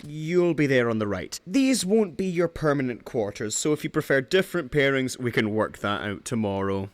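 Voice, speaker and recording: A man playing a chipper, slightly awkward base guide in an audio drama, cheerful and unhurried. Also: medium pitch, animated, dry recording, close to an everyday voice.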